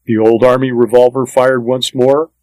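A man's voice: mid-range voice, little pitch movement, normal speed, darkish tone, no room sound, rough recording. A man reading a first-person western story as scripted audiobook narration, in a plain, matter-of-fact manner.

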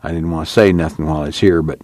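A man reading the part of a gruff, stubborn farm father who grumbles in rural speech. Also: a bass voice, fairly even intonation, fast talker, darkish tone, no room sound. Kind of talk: reading a novel aloud.

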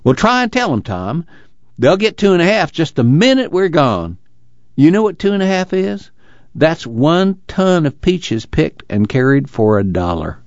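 Man acting an excitable, earnest folksy labor organizer. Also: fairly high pitch, unhurried, no room sound, lo-fi sound, voice pushed up. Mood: excited, urgent. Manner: rural uneducated American dialect, pleading and preaching.

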